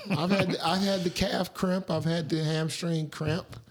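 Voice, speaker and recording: A man's voice: a little high, steady tone, measured pace. A man co-hosting a podcast, trading joking, teasing, profane banter in casual chat. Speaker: podcast co-host.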